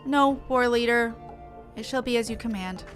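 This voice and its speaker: A woman's voice: fairly high pitch, moderate pace, soft background music, lightly acted. A woman voicing a haughty, prickly female beastman warrior in a game, with sneering, formal speech. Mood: scornful. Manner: indignant, sneering, formal phrasing. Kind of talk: reading game dialogue in character.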